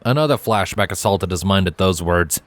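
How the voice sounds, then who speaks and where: Low-voiced man narrating a story. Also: expressive, normal speed, no room sound.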